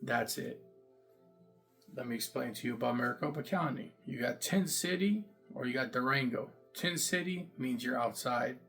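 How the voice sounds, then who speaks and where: A man talking straight to camera in a loose, repetitive way, full of "like" and "boom", his voice sitting in the middle for pitch. It's unpolished storytelling, with a little music playing softly behind him. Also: moderate pace. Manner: loose and repetitive, many fillers, emphatic "boom". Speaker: vlogger recounting jail time. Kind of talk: casual first-person storytelling to camera.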